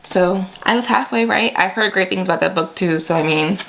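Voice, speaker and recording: Lower-voiced young woman vlogging casually. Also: fairly quick, creaky, a bit bright, slight background noise. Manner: chatty, informal, slangy, addressing viewers as friends. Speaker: book vlogger. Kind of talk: casual vlog chat.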